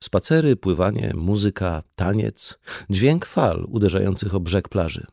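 A man's voice: sits low, animated, measured pace, creaky, warm tone, no echo, clean studio sound. A man reading a conversational non-fiction audiobook as scripted narration. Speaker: audiobook reader.

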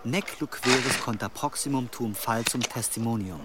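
A man's voice: medium pitch, normal speed, heavy background noise, natural voice. A man playing a stern, righteous medieval monk in a German radio play, admonishing and interrogating. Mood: stern, probing.

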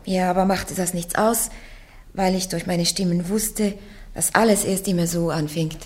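A woman's voice: on the low side, steady tone, moderate pace, darkish tone, natural voice. An actress playing a blunt, fearless young peasant woman on trial in a radio play. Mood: earnest, firm. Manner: blunt, defiant, plain-spoken.

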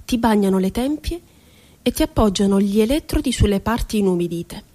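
An actress playing a bitterly ironic psychiatric inmate in a radio theatre monologue, telling her story in a deliberate, dramatic way. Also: mid-range voice, animated, warm tone, natural voice. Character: woman psychiatric inmate recounting asylum horrors, bitterly ironic. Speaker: stage actress.

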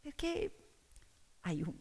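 Storybook girl, played by a woman: cheeky and cunning, high, lively delivery, long reverb. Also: slow pace, heavily acted. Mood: blunt, teasing. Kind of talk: theatrical storytelling dialogue.

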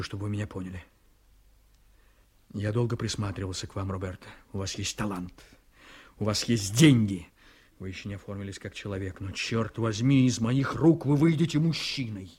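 An actor playing an ambitious, cynical, domineering politician and prosecutor in a radio drama, with a theatrical, emphatic delivery that moves between confiding and fierce. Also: male voice, fairly low pitch, breathy edge. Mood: domineering, passionate. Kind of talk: Soviet radio drama acting.

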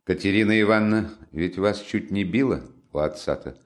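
A brooding young man from a storybook reading, sullen and curt, with a somewhat low voice. He is played by the male reader in his own register. The tone stays dark and withdrawn. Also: moderate pace, lightly acted. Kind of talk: audiobook character reading.

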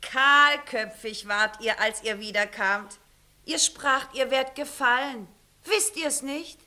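A woman's voice: sits high, slow, pushed and bright, full character voice. An actress playing an outspoken, insistent maidservant who scolds her master in a 1960s German radio comedy. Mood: insistent, scolding. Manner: insistent, emphatic. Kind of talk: 1960s German radio play acting, classical stage comedy.

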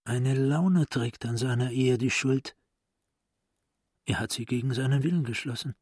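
An actor playing a calculating, controlled male psychiatrist thinking to himself in a radio play, in a measured manner. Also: mid-range voice, darkish tone, slightly rough, no room sound, clean studio sound. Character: calculating psychiatrist brother, controlled and privately analytical. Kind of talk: German radio play acting.

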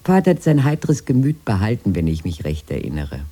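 Radio play storyteller: lowish husky male, wry, plaintive, ironically earnest, very expressive melody. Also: a little fast. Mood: wry, resigned. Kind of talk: radio drama acting.

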